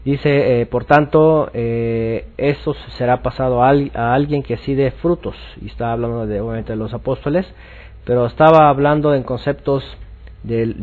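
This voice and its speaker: A man teaching scripture informally, explaining haltingly and often repeating himself. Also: mid-range voice, narrow, tinny audio. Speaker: religious teacher.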